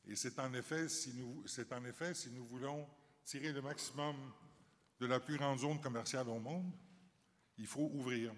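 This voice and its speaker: A middle-aged man from Canada, giving measured, formal panel remarks with occasional hesitations. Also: mid-range voice. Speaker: company chief on a panel. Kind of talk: prepared panel remarks, then answering questions. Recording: reverberant conference hall.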